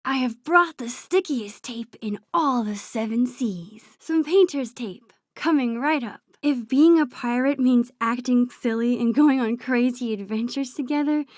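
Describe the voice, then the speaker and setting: A woman voicing a sweet, shy, nerdy teenage girl in a video game, with a soft, often breathy voice and a gentle, teasing, earnest manner. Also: fairly high pitch, unhurried, no room sound, clean studio sound, lightly acted. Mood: playful.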